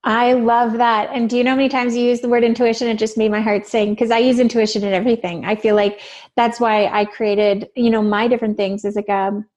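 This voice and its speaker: A woman's voice: a little high, level tone, a little fast, a little mellow, dull audio. A woman with a Canadian accent hosting a casual interview, effusive and affirming. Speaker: podcast host.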